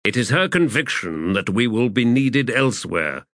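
A male narrator voicing a dignified prince who speaks in measured, formal phrases. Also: medium pitch, big pitch swings, fairly bright tone, dry recording, clean studio sound.

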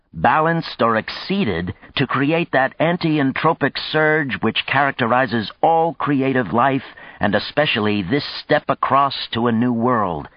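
A man's voice: mid-range voice, voice pushed up, lightly acted. A man voicing an exhilarated male space colonist giving a declamatory, rousing speech in an audiobook. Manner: declamatory, impassioned oratory. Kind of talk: audiobook character dialogue. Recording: dull, slightly muffled, roomy sound.

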